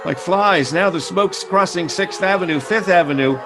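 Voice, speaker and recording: An actor playing a radio reporter in a radio drama, giving a halting, stammering live report. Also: male voice, high voice. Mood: frantic. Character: frantic rooftop radio reporter. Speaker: reporter, then announcer. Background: music.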